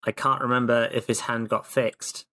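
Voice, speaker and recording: A man of average pitch talks casually on a tabletop gaming podcast. He phrases things playfully and theatrically, in the manner of a player recounting an adventure to friends. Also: little pitch movement, moderate pace, bright, forceful tone. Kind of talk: casual chat and recapping.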